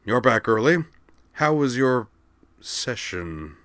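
A man's voice: mid-range voice, sing-song, slow, fairly bright tone, no echo, pitched above the performer's own voice. A man doing a courteous male alien. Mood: concerned.

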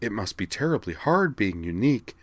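A man's voice: fairly low pitch, very animated, brisk, no room sound, pitched above the performer's own voice. A man mimicking a syrupy condescending woman counselor. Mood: mocking. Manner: syrupy, patronizing, mock-sympathetic.

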